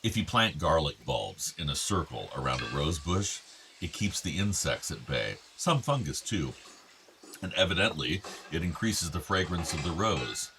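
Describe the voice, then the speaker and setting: Deep-voiced, doting older father. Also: very animated, normal speed, background noise, exaggerated voice. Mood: calm, musing. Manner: gentle, affectionate. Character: doting, regretful older father of two grown sons. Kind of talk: naturalistic radio drama acting.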